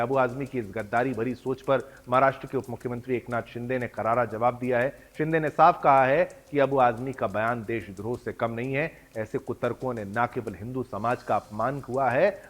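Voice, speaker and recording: A man presents the news in a studio. His pitch sits in the middle of the male range. He reads in a combative, accusing tone and throws in rhetorical questions. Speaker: news anchor.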